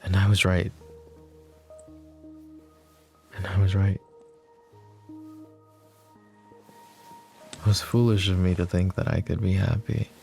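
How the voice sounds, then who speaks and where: Casting: male actor as smitten mafia boss for romance ASMR; very low, creaky voice, flirty yet self-deprecating, hesitant murmur. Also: level tone, a little fast, a little mellow, soft background music. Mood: anguished, vulnerable. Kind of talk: intimate ASMR romance roleplay.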